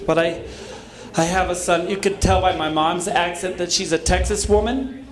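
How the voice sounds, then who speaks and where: A middle-aged man doing a stand-up comedy set on a club stage, camp and breezy, with quick asides to the audience. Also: sits high, steady tone. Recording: echoey club with laughing crowd. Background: audience laughter.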